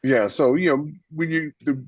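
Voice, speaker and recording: A man with a husky voice explaining his views on a podcast in a casual but emphatic, opinionated way. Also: on the high side, normal speed. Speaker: podcast host and author. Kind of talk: casual writing discussion. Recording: roomy, slightly dull lo-fi microphone.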